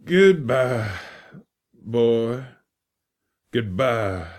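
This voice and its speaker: A man's voice: medium pitch, sing-song, very slow. A man voicing a kindly old schoolteacher in an audiobook, doubtful and fatherly. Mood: resigned farewell.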